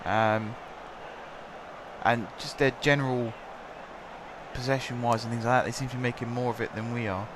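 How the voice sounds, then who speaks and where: A man's voice: medium pitch, fairly even intonation, normal speed, pauses often. Guy with a scratchy sore throat rambling over his footy game, lots of ums, noisy background. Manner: chatty, rambling, filler-heavy. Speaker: gaming commentator. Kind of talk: casual game commentary.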